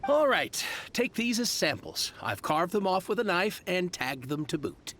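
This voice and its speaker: A man's voice: high voice, big pitch swings, measured pace, fairly bright tone, a little hiss or hum, heavily acted. A man voicing a flustered, ingratiating merchant in a video game, hesitant and wheedling like a salesman.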